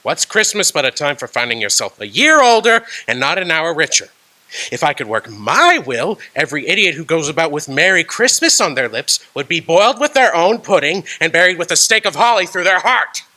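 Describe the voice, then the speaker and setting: A man playing a miserly, bitter old moneylender in a radio drama, gruff and scornful. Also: a little high, big pitch swings, brisk, pushed and bright, low-quality recording.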